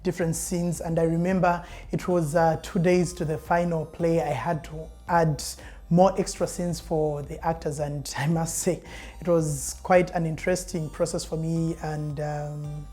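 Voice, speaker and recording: A woman's voice: sits low, level tone, unhurried, warm tone, soft background music. A young woman, a student playwright, speaking earnestly and thoughtfully in an interview.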